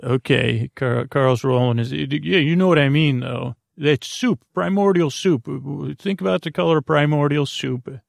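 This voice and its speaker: A man with a gravelly voice hosting a sleep podcast, delivering a rambling, halting bedtime monologue full of tangents that trail off. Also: mid-range voice, dry recording, studio quality.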